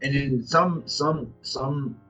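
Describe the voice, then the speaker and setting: American male vlog co-host, raspy forceful tone, loose colloquial delivery, acts out exchanges, music underneath. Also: mid-range voice, slow pace. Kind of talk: casual anecdotal chat. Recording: dull lo-fi audio, music underneath.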